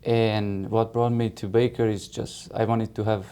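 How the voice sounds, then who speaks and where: Young male athlete, Hungarian accent. Dark, smooth voice with very level intonation, interview setting. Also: on the low side, flat delivery, unhurried. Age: 20s. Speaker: college soccer player. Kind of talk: interview introduction.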